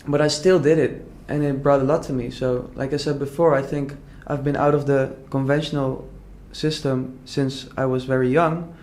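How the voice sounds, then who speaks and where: A young man from the Netherlands talks through his story in a loose, earnest interview. His voice sits at a middling pitch and he circles back often, stacking "like" and false starts as he thinks aloud. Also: fairly even intonation, normal speed, few pauses, darkish tone. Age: young adult. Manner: earnest, rambling, many "like" fillers and restarts. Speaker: entrepreneur guest. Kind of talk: casual conversational interview.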